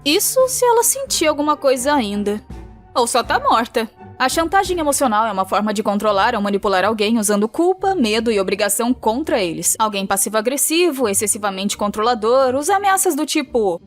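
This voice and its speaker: A Brazilian woman with a high-pitched voice narrates an animated video. Her delivery is very lively and swooping, casual and colloquial. Faint music plays behind her. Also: fairly quick. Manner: colloquial, acts out quotes and dialogue.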